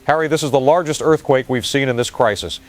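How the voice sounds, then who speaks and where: A man's voice: medium pitch, quick pace, natural voice. An actor playing a shaken male field correspondent reporting in an urgent, grave manner. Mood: grave urgency. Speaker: news correspondent. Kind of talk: audio drama news report.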